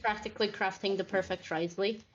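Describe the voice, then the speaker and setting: A woman talks casually about a game over voice chat. Her delivery is halting as she works out her thoughts. The sound is slightly muffled and lo-fi, with music faintly underneath. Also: medium pitch, steady tone.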